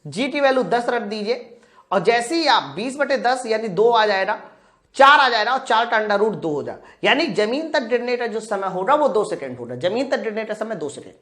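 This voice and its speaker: Female physics tutor, fairly high voice, informal question-and-answer delivery for exam students. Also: very animated, normal speed, a bit bright. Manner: asks questions and answers them herself; addresses students directly and informally. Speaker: physics teacher for exam preparation. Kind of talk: live lesson, working through practice questions step by step.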